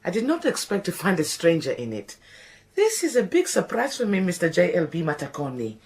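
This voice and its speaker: A woman's voice: on the low side, very animated, brisk, a little mellow. A proud older housekeeper in a radio play , acted by a woman, theatrical and easily offended. Her voice swoops widely as she protests. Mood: haughty. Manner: theatrical, indignant, wailing. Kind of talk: radio drama acting.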